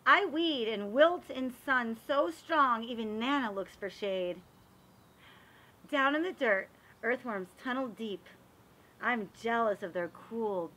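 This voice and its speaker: A woman reading a children's picture book aloud in an expressive, animated way, as if showing it to young listeners. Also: mid-range voice, a little hiss or hum, rough recording. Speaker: read-aloud reader for children.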